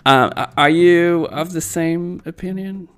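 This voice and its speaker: A man's voice: a little high, unhurried, a bit bright, clear, resonant tone. A man in his forties, not a native English speaker, hosting an interview in an enthusiastic, rambling way with many fillers. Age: 40s. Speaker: podcast host. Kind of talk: casual interview.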